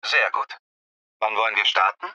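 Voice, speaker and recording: A man's voice: fairly low pitch, moderate pace, pushed and bright, no echo, lo-fi sound. A man playing a young, matter-of-fact student tutor in a scripted language-lesson dialogue. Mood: businesslike. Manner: businesslike, obliging.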